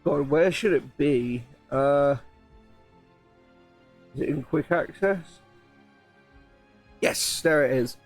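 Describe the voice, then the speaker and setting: Streamer: man, somewhat high, a bit croaky, excited rambling talk over soft background music. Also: takes it slowly, long pauses. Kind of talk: casual live-stream chat while building.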